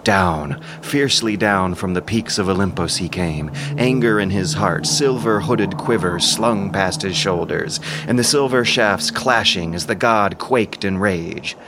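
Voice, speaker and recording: A man's voice: sits low, unhurried, few pauses, background noise. A man delivering solemn, declamatory scripted narration of an epic in an audio drama.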